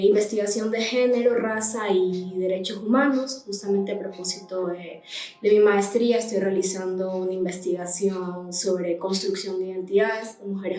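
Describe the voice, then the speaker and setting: Woman guest, thoughtful intro w ehs, echoey, soft music behind. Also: mid-range voice. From Guayaquil, Ecuador. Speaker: guest, lawyer. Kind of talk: self-introduction. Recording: echoey room, distant mic, faint music.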